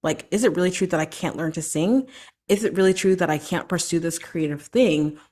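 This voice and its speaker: Chatty woman vocal coach, lowish voice. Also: a little fast, a little mellow. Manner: chatty and encouraging, frequent "like" and "right?". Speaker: podcast host and vocal coach. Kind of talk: solo podcast monologue.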